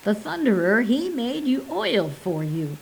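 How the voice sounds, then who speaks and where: A woman's voice: fairly high pitch, very animated, measured pace, gravelly, a little hiss or hum, pitched above the performer's own voice, exaggerated voice. A woman voicing an old male Cajun swamp recluse who speaks in clipped, broken dialect with emphatic insistence. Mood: mocking. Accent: Cajun English.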